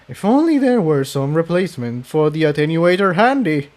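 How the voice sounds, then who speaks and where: A man acts out a cruel male pony villain from a fantasy storybook. He is smug and menacing, taunting his foes in drawn-out phrases. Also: a little high, big pitch swings, darkish tone, voice pushed up. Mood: cold menace.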